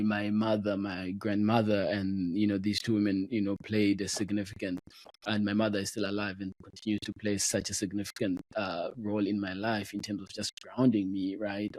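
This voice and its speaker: A man's voice: fairly low pitch, a little mellow, poor audio. A man from Zimbabwe hosting a podcast interview, talking conversationally with lots of affirming backchannels and filler words. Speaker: podcast host.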